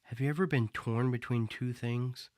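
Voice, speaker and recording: Brooding magician , played by a man, measured, dry close sound. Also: mid-range voice, fairly even intonation, darkish tone, no echo, lightly acted. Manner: reflective, measured. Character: brooding male magician, philosophical.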